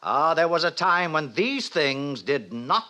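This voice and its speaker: An actor playing a brisk, self-satisfied director who lectures young students pompously in 1950s radio drama style. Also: male voice, on the high side, very animated, pushed and bright, exaggerated voice. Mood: grandly self-satisfied. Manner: pompous, didactic, declamatory. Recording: vintage 1950s radio recording.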